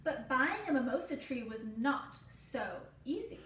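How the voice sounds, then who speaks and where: A woman teacher reading a children's story aloud deliberately, with simple explanatory asides. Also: sits high, very animated, fairly bright tone. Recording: roomy echo, distant mic, faint noise.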